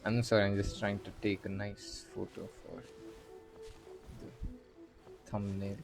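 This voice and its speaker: A man gives casual gameplay commentary in a fairly low voice. He talks very slowly and haltingly, murmuring as he thinks aloud. Faint music sits behind him. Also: completely flat delivery, vocal fry. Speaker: video game streamer. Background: faint game music.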